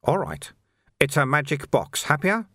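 A male narrator acts out a placid, talkative monk from a storybook. His voice sits on the high side and swoops gently as he soothes and teases. The sound is close and dry. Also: huge pitch swings, a little slow, no room sound, exaggerated voice. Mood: explaining, amused. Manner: casual, soothing, lightly teasing explanations. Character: calm, chatty, placating monk guide, older man. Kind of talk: audiobook character dialogue.

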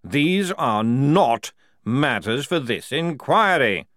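A man's voice: lowish voice, sing-song, measured pace, fairly bright tone, no room sound, voice pushed up. A man puts on a senior army general for an audiobook. He is curt and commanding, with an irritable edge. The sound is dry and close. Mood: stern, irritated. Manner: curt, authoritative. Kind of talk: audiobook character dialogue.

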